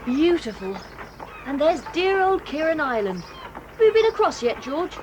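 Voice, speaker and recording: A woman's voice: sits high, sing-song, unhurried, muffled recording, noisy background. An actress voicing a cheerful, eager boy cousin in an audio drama, talking in a chatty way. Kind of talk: 1980s audio drama acting.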